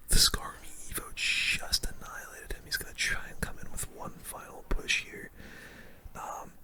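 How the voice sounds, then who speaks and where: A young man whispering casual, slangy ASMR commentary as he plays a video game, close to the mic. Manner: slangy, casual, reacting to play. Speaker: gaming video host.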